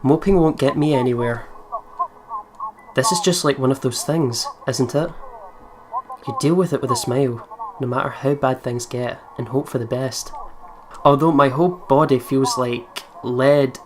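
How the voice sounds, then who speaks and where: A man's voice: mid-range voice, normal speed, background noise. A man with a Scottish accent, commentating casually on a game and reading its text aloud. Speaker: let's play commentator.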